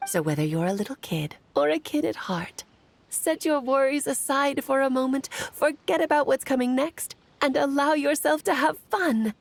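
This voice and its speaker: Game casting: mischievous doting witch mother, highish, very lively intonation, theatrical, over music. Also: normal speed, vocal fry. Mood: gentle, wise. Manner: theatrical, teasing, gently wise. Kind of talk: video game voice acting. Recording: music behind the voice.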